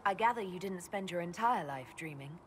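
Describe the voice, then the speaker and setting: A woman's voice: on the low side, lively intonation, a little fast, close to an everyday voice. Woman acting a composed young elven mage, noisy backdrop. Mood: curious. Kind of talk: video game dialogue acting. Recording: clear ambient noise behind game dialogue.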